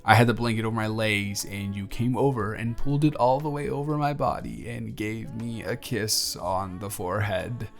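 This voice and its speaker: A man's voice: fairly low pitch, fairly even intonation, normal speed, gravelly, hardly any pauses, soft background music. A young man playing a friendly, smitten male barista in an intimate romantic audio roleplay, earnest, conversational and slightly flustered. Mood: tender, smitten. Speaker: voice actor.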